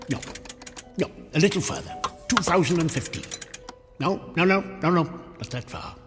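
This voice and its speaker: An actor playing a dour, flustered small-town grumbler of 1890 in a radio drama, with a blustering, exclamatory delivery. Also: male voice, medium pitch, creaky.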